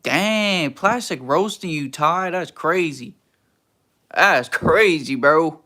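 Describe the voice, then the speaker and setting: A man's voice: a little high, expressive, slow, sharp tone. A young man from Texas, hosting a casual livestream and answering chat in a laid-back, slangy, teasing way. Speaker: livestream host reading chat.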